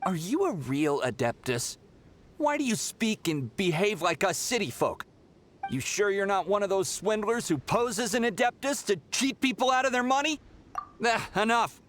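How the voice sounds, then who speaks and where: A man's voice: high voice, lively intonation, moderate pace, fairly bright tone. A young man playing a brash, pushy pilgrim in a game who talks impatiently.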